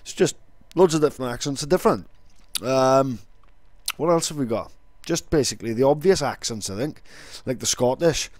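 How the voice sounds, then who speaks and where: A man's voice: medium pitch, moderate pace, no echo. A man with a Welsh accent, explaining things casually as an online video creator.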